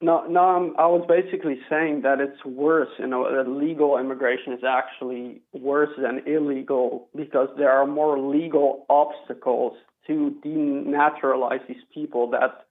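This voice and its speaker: Halting young man on a tinny phone line. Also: medium pitch, steady tone. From Belgium, Flanders. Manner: halting, many restarts and fillers. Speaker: caller. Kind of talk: phone-in call.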